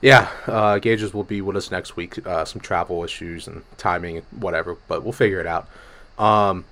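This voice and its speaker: A man hosting a casual sports betting podcast, hoarse from a cold, talking with energy and swearing freely. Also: sits low, moderate pace. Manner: energetic, profane, jumps between topics. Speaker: podcast host.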